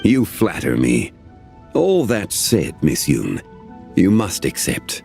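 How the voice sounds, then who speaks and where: An actor playing a calm, formal, ancient-seeming gentleman of refined taste, speaking with measured diction in a game cutscene. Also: low-pitched, creaky, soft background music, full character voice.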